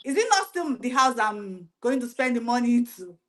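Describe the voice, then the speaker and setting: A woman's voice: a little high, sing-song, moderate pace, a bit bright. A woman, a panelist giving her opinion earnestly in a chat show discussion. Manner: earnest, argumentative.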